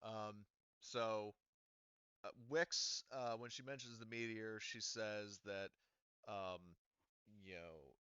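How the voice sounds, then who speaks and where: A man's voice: lowish voice, fairly even intonation, slow, sharp tone, clear, resonant tone, no room sound. A man running a tabletop roleplaying game, narrating loosely with lots of fillers and casual swearing. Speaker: game master. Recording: muffled lo-fi audio, dry.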